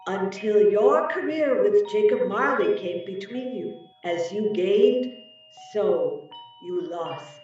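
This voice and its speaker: A woman acts out a solemn female Christmas spirit who accuses with theatrical weight. Her pitch swings widely as she reproaches. The voice sounds distant and muffled in a very echoey space, over faint music. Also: animated, takes it slowly, darkish tone. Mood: solemn, reproachful. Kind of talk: dramatic reading of a Victorian Christmas story.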